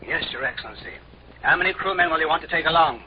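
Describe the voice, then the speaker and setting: A man's voice: on the high side, lively intonation, fast, lightly acted. An actor playing a dutiful, nervous male spaceship officer, deferential to his superior, in a 1950s radio drama. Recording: noisy, dull 1950s radio recording.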